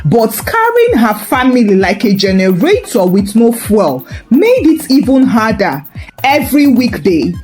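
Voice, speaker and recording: Woman, storyteller, high voice, lively swooping intonation, wry scripted narration, music underneath. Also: measured pace.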